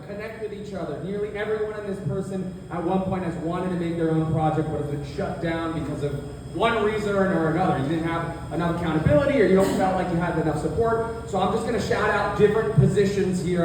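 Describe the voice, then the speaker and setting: A man's voice: sits high, far from the mic. A man hosting a live panel, addressing the audience in an indoor public venue. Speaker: panel host. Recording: echoey hall from afar, loud crowd noise.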